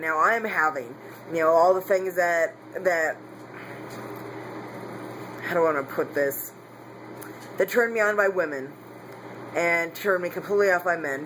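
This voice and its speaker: A man's voice: fairly high pitch, fairly bright tone, slight background noise, rough recording. A man talking candidly in a casual personal vlog, rambling with frequent restarts and self-corrections. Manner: candid, confessional. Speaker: vlogger.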